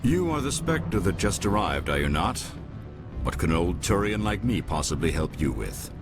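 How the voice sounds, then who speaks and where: An actor playing an old alien businessman in a video game, suave and dryly scheming, with measured formal speech. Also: sits low, sing-song. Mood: courteous, wry. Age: older. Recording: music behind the voice.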